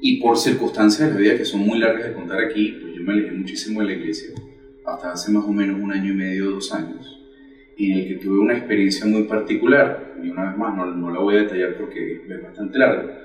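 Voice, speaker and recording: A man in his forties gives an earnest, measured account of his faith as a guest. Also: lowish voice, fairly even intonation, reverberant, far from the mic, soft background music, poor audio. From Venezuela. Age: 40s. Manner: earnest, measured storytelling with pauses. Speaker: catechist guest. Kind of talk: personal faith testimony.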